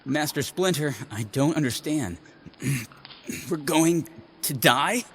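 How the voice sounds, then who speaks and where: A man's voice: on the high side, lively intonation, slow pace, a bit bright, a little hiss or hum. A man playing an anxious, earnest teenage mutant turtle in an audio drama, sounding puzzled and worried.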